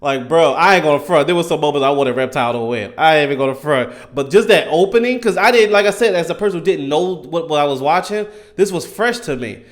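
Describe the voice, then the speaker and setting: A man in his thirties giving casual, enthusiastic fan commentary in an animated, emphatic, slangy way. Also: on the high side, quick pace, few pauses. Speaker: gaming content creator.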